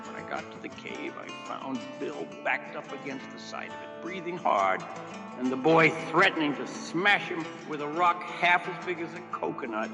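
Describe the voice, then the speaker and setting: A man reads a scripted first-person story as its narrator. His voice sits somewhat high, with a wry, folksy theatrical delivery. It carries long reverb, and music plays clearly behind it. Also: lively intonation, moderate pace.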